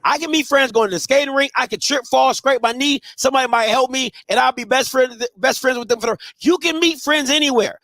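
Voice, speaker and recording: A man in his thirties from Maryland hosting a live show, giving blunt, emphatic advice to listeners and sometimes shouting. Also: very high-pitched, fairly quick, gravelly, few pauses, dry recording. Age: 30s. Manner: emphatic, blunt, swings between soft and shouting. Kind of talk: casual advice talk to listeners.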